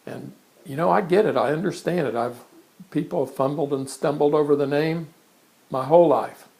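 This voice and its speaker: A man in his sixties with a gravelly, creaky voice talks plainly to the camera from his studio. His delivery is conversational and halting, full of restarts. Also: fairly high pitch, darkish tone. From born in England, raised in Kansas. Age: 60s. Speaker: artist introducing himself. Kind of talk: casual introductory monologue to camera. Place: his art studio in front of a blank canvas.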